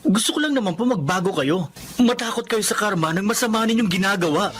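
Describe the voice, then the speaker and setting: An actor playing a principled adult son in a radio drama, respectful and pleading yet firm. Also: male voice, high-pitched, animated, fast talker. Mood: earnest pleading. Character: earnest, principled adult son, husband and father.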